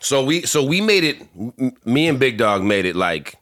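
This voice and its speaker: A man's voice: medium pitch, big pitch swings, moderate pace, fairly bright tone, dry recording, clean studio sound. A man chatting casually as a podcast co-host, with laid-back, slang-heavy banter. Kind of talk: casual chat.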